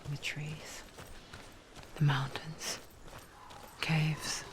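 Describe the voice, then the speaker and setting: A woman playing an intimate, knowing narrator in a dark fantasy game, speaking in a breathy, confiding whisper. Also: sits low, little pitch movement, noisy background. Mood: hushed, wistful. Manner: slow, hushed, confiding, addressing the listener. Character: soft-spoken female narrator voice, intimate and eerily knowing. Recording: music behind the voice. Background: music.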